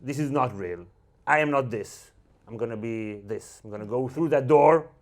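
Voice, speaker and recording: A man's voice: medium pitch, normal speed. A man from Israel with an Israeli accent, hosting a casual chat in punchy, anecdotal bursts. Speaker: host.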